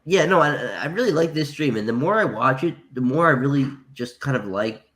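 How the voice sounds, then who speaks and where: A man's voice: medium pitch, animated, brisk, fairly bright tone. A man with a nasal, congested voice, calling in to a livestream and talking casually, with many restarts and hesitations. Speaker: caller.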